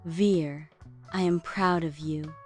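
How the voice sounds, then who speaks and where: A woman playing a gentle, benevolent angel guardian in a children's bedtime story, speaking in measured, formal lines. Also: low voice, steady tone, soft background music. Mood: proud, blessing. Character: gentle, solemn female angel guardian of a forest.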